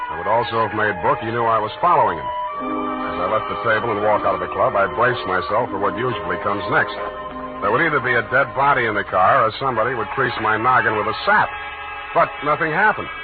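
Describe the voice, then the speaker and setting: A tinny forties radio recording with loud music under it carries a man acting a hard-boiled private eye. He narrates in a mid-pitched voice, dry, deadpan and full of cynical wisecracks. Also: natural voice. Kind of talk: first-person noir narration, 1940s radio drama.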